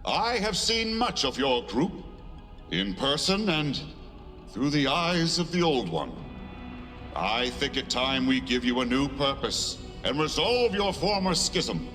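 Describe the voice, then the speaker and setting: A man playing a calm, solemn otherworldly being in a video game cutscene, speaking with great deliberation. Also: mid-range voice, slow pace, exaggerated voice. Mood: calm, measured. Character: calm, otherworldly being embodying a whole world. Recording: long reverb, music behind.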